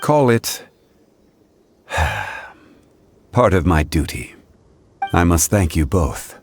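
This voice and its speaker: An actor playing an ancient god in human form in an anime game, calm, wise and solemn, speaking in a measured, formal way. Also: male voice, sits low, vocal fry, noisy background, heavily acted. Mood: calm, regretful. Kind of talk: dramatic anime game acting.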